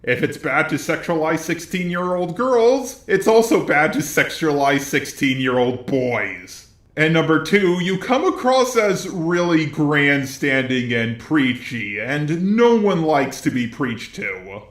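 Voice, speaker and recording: A man's voice: fairly high pitch, sing-song, takes it slowly, a bit bright. Roomy-sounding man narrating commentary. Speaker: video commentator.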